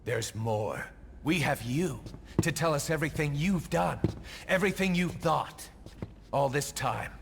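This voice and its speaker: Gravelly male prosecutor, bitter, accusing, highly dynamic pitch, military game drama, echoing hall, noisy background. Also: animated, unhurried. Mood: cold, controlled. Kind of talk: dramatic video game cutscene acting.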